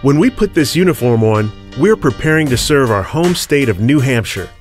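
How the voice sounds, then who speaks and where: A man narrating a scripted recruitment ad in an earnest, measured way. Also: mid-range voice, sing-song, over music. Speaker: ad voice-over.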